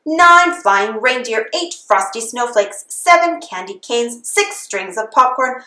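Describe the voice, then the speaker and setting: A woman's voice: fairly high pitch, animated, takes it slowly, sharp tone, roomy sound. A woman reading a children's storybook aloud in the sing-song manner of a Christmas carol. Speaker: storybook reader.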